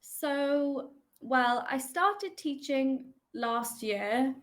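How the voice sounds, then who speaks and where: A woman's voice: high-pitched, lively intonation, drawn-out words. Chatty young woman tutor on a call. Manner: chatty, hesitant with many ums. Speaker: online English tutor. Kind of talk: casual video call chat. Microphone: video call.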